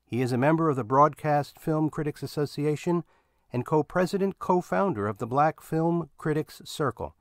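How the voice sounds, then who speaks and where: Male podcast host: scripted outro read, measured, slightly high voice, dry close sound. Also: dry recording.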